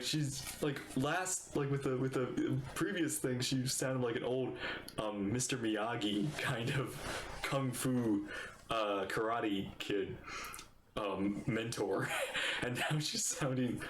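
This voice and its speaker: A man's voice: medium pitch, reverberant, slight background noise. A man giving casual, amused, rambling reaction commentary as he streams a video game.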